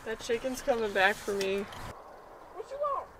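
A woman's voice: a little high, wildly swooping pitch, moderate pace, noisy background. A woman co-hosting, joking around playfully and teasing while she works in an outdoor junkyard. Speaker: co-host and mechanic.

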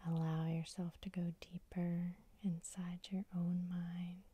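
A woman whispering a guided sleep hypnosis in a very slow, even, monotone hush. Her breathy whisper is warm and mellow, recorded dry and close with no room sound. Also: on the low side, no room sound. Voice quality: soft whisper. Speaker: ASMR hypnosis host.

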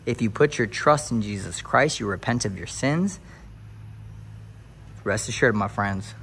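Casual tour-guide energy from a guy with a fairly low voice, calling everyone y'all and getting more and more fired up, recorded on a phone with faint room hum behind him. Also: a little slow, creaky, a bit bright. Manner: upbeat, hyped, talks to viewers as y'all. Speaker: realtor touring a house. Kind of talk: casual house-tour vlog turning to preaching. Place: empty new house, then its covered back patio.

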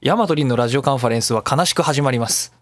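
A man's voice: mid-range voice, racing, dry recording. A man hosting a casual solo web radio show in speech, chatty and given to acting out imagined remarks. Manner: talkative, acts out imagined remarks mid-talk.

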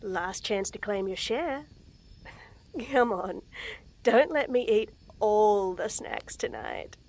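A young woman in a scripted roleplay audio, talking to the listener with nervous stammers, frequent giggles and soft whispers. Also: mid-range voice, big pitch swings, slow pace. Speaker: voice actress in a girlfriend audio.

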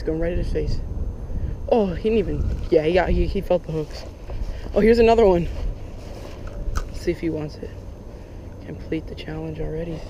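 A young man giving casual, excitable running commentary while fishing from a lake bank, recorded on a head-mounted action camera. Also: on the high side, background noise. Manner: chatty, excitable, lots of "like" and "oh my gosh". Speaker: fishing vlogger. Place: outdoors on a lake bank in Florida.

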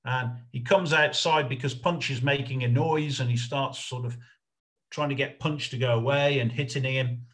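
A middle-aged man giving an informal illustrated history lecture over a video call, explaining in a halting, hesitant way. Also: medium pitch, fairly even intonation, a bit bright. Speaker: lecturer, researcher presenting a talk.

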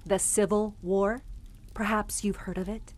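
An actress playing a polite but uneasy, inquisitive young newlywed wife in an audio drama. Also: female voice, mid-range voice, steady tone, a little slow, a little mellow, no room sound, lightly acted. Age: young adult.

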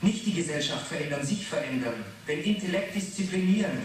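A man's voice: fairly high pitch, expressive, a little fast, natural voice. An actor in a 1980s radio play playing a defensive, irritable man under questioning, evasive and explanatory. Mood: defensive, earnest. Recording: very echoey, noisy, distant radio drama.

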